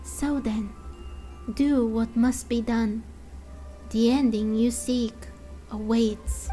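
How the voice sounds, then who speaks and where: Woman as a slow, solemn, cryptic oracle. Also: fairly high pitch, steady tone, dark tone, soft background music, poor audio. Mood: calm, ominous. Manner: slow, portentous, drawn-out pronouncements. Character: solemn cryptic female oracle, serene and fanatical.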